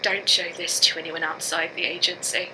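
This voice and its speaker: A woman's voice: fairly low pitch, flat delivery. A woman playing a frightened, grieving astronaut engineer in a science fiction audio drama, short of breath and speaking in broken sentences. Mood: weary, shaken. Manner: halting, broken sentences with repeated words. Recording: faint hum and noise behind.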